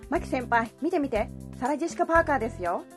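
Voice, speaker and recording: An excitable female junior colleague acted by a woman chatters eagerly in a radio romance. The voice is fairly high, lively and quick, with music clearly audible behind it. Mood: excited, gossipy. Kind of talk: radio drama dialogue.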